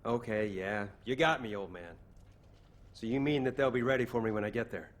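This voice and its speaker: A man's voice: mid-range voice, brisk, lightly acted. A man playing a cheeky, easygoing young soldier who loves cooking in a video game, with a casual, teasing delivery. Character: easygoing cheeky young soldier-cook, passionate about food.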